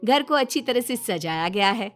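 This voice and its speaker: A woman's voice: a little high, expressive, normal speed. A woman storyteller reading a scripted moral family story as narrator. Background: background music.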